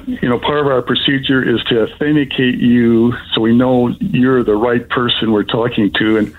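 An older man, sixties or above, giving an unscripted, rambling personal account as a caller, in a halting way. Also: medium pitch, phone-quality sound. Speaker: caller telling of being scammed.

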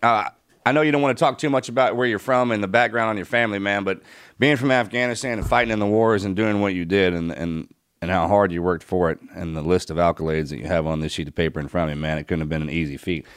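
A man's voice: low voice, fairly even intonation, fast talker, clear, resonant tone. A man hosting a podcast interview in a laid-back, casual way, often saying "man". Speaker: podcast host.

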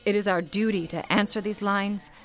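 A woman's voice: medium pitch, fairly even intonation, moderate pace, close to an everyday voice. A woman playing a stern but motherly switchboard supervisor in a 1930s period radio play, formal, firm and soothing. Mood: stern, urgent.

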